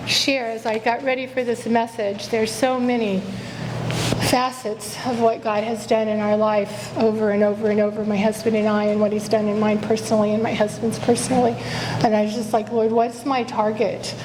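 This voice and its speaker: Quiet, earnest woman preacher, slightly high voice, on a stage mic in a reverberant church. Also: level tone, moderate pace, noisy background. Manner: earnest, repeats "Father" in prayer, self-correcting. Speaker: guest speaker. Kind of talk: sermon with prayer. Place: church sanctuary. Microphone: stage microphone.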